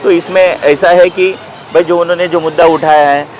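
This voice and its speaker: A man's voice: fairly high pitch, steady tone, normal speed, poor audio. A man, a party worker, giving rambling, conciliatory explanations in a news interview. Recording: outdoor street noise, passing vehicles.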